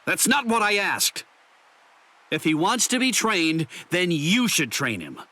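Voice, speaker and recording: An actor playing a brash, hot-tempered young swordsman in an anime game dub, talking bluntly and gruffly. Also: sits high, very animated, unhurried, pushed and bright, exaggerated voice. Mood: irritated, indignant.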